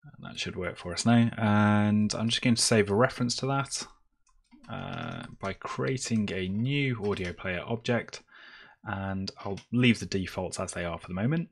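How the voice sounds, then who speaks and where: A man presenting a screencast tutorial, explaining step by step in a semi-improvised way as he works. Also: deep voice. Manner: step-by-step, explaining while typing.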